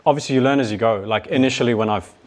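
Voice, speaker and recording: A man's voice: mid-range voice, very fast, darkish tone. A young man from New Zealand, a guest talking casually about his business, laid-back and full of "like" and "yeah". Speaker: guest, e-commerce business owner. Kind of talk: casual interview chat.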